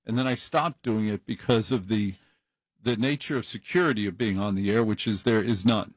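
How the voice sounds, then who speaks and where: A man's voice: lowish voice, normal speed, no echo. A middle-aged man hosting an overnight call-in radio show, talking in a rambling, chatty, self-deprecating way into a studio microphone. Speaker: late-night radio talk show host. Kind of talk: casual monologue on call-in radio.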